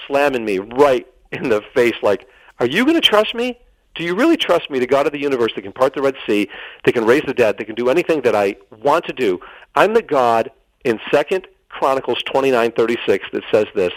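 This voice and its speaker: A man's voice: medium pitch, dry recording, rough recording. A middle-aged man telling a personal story as a radio interview guest, earnest and dramatic, acting out the dialogue and repeating phrases for emphasis.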